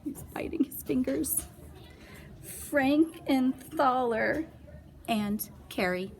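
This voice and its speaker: A woman's voice: sits high, animated, drawn-out words, slight background noise. A woman reading a children's picture book aloud with playful, dramatic emphasis and little asides. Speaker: read-aloud storyteller.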